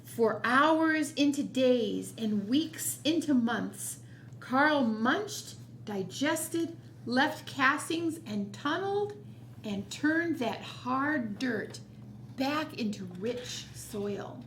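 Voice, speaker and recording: Slow, lilting woman reading to children. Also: sits high, slight background noise. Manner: expressive storytelling with quiet asides. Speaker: storytime host. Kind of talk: children's picture book read-aloud.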